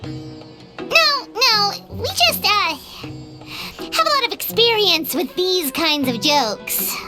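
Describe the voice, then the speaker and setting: A woman voicing a tiny excitable girl companion in a video game, bubbly and chatty, referring to herself in the third person. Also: very high-pitched, slow pace, full character voice. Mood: chirpy. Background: game music.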